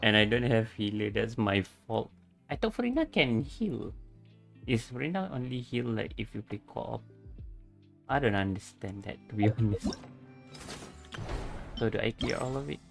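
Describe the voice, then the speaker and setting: A man's voice: medium pitch, expressive, moderate pace, vocal fry, long pauses. A male streamer giving casual, laid-back commentary while he plays, laughing and swearing freely. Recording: game music behind.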